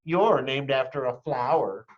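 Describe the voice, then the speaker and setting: A man's voice: mid-range voice, unhurried, muffled recording, pitched above the performer's own voice, close to an everyday voice. A man voicing a snooty little schoolgirl in a storybook, taunting like a know-it-all. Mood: mocking.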